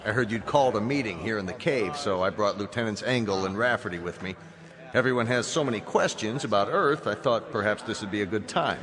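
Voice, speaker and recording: An actor playing an easygoing, reasonable military colonel from a spaceship in a radio drama, with a measured, assured delivery. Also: male voice, on the low side, a crowd in the background, natural voice. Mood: friendly. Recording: radio drama with music underneath.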